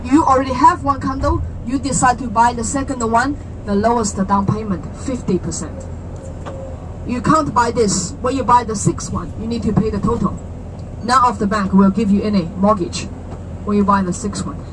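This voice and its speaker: A woman's voice: fairly high pitch, normal speed. Chatty Chinese-accented woman guide, street traffic. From China. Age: 30s. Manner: chatty and explanatory, asks listeners questions, jokes. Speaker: tour guide. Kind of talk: explaining to visitors on a walking tour. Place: outdoors on a city street.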